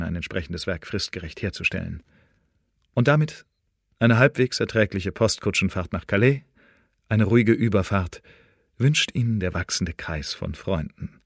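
An actor playing a spymaster's sly secretary in a radio play, reading out a formal letter in a courteous, measured manner. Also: male voice, lowish voice, no room sound, studio quality.

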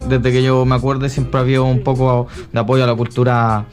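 Easygoing Chilean rapper, music behind. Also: male voice, mid-range voice, completely flat delivery, brisk. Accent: Chilean Spanish. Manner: easygoing, says "yeah, man". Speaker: guest rapper. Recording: radio studio with loud music bed.

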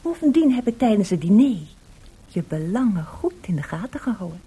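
A woman acting in a Dutch radio play as a coy, affectionate married piano pupil, playful and gently coaxing. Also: medium pitch, very animated, fairly quick, husky, heavily acted. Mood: apologetic, earnest.

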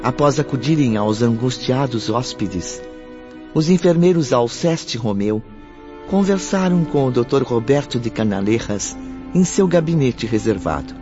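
An actor serving as the solemn narrator of a Brazilian radio drama, reading a script dramatically. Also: male voice, mid-range voice, animated, unhurried, close to an everyday voice. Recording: muffled radio drama with music.

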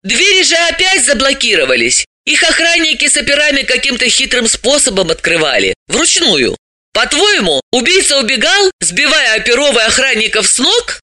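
Here is a brisk, self-assured woman sleuth from an audiobook, acted out by a man. The voice is pitched high and sounds very bright, swinging widely as it explains with businesslike emphasis and a mocking edge. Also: huge pitch swings, no room sound, heavily acted. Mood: insistent. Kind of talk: audiobook character voice.

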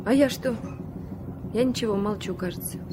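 An old, clearly noisy radio drama recording with a woman playing a young deckhand granddaughter. She talks informally and pertly, with spirit. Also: mid-range voice, moderate pace, natural voice. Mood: sulky. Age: young adult. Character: spirited young granddaughter helping aboard a river boat. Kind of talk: Soviet radio play acting.

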